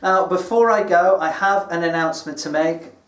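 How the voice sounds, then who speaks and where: A British man speaks as an English teacher, presenting to learners in a deliberate, explanatory way. His voice sits a little higher than most men's. The room rings with clear echo, and he sounds somewhat away from the microphone. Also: a bit bright. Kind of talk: scripted educational presentation to camera. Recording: echoey room, distant microphone.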